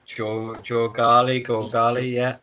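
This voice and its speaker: Bright-voiced man chatting on a podcast. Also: mid-range voice, flat delivery, slow pace, muffled recording. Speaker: podcast co-host. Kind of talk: casual book discussion.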